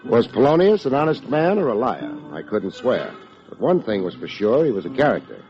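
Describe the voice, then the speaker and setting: An actor playing a wry, wordy hard-boiled private detective with dry sardonic delivery, in old-time radio drama style. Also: male voice, medium pitch, big pitch swings, a little fast. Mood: wry narration. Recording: muffled old radio recording with music.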